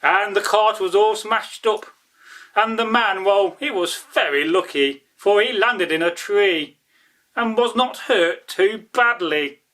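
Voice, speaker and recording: A man telling an old folktale as a storyteller, in a deliberate, emphatic, dramatic way that builds by repeating phrases. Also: sits high, pushed and bright. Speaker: storyteller, channel host.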